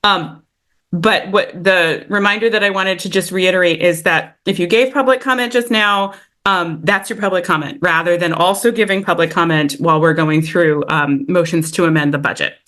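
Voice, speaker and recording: A woman's voice: lowish voice, steady tone, normal speed, fairly bright tone. A woman chairing a public meeting over a video call, upbeat and effusive, with frequent fillers. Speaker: committee chair.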